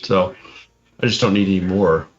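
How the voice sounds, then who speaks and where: A man co-hosting a podcast in casual chat, halting and repeating his phrases. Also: deep voice, lo-fi sound. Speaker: podcast co-host. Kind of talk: casual chat while reading through game rules.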